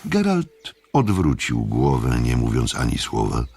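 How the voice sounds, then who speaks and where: A male audiobook narrator with a low, gravelly voice tells a story in a slow, measured way. His intonation is extremely varied, with dramatic pauses between phrases. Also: huge pitch swings, darkish tone. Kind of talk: scripted dramatic literary narration.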